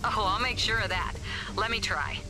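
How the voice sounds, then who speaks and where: An actress playing a confident, wry superhero on a mission in a video game, brisk in manner. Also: female voice, mid-range voice, lively intonation, background noise, lightly acted.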